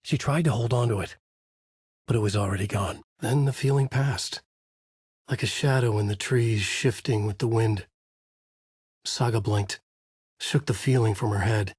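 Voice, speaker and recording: Husky, low-voiced brooding writer. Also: male voice, darkish tone, no room sound, studio quality. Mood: brooding narration. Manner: measured, grave, dramatic delivery. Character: brooding, haunted male writer narrating a horror story. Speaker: voice actor. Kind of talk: scripted noir thriller narration.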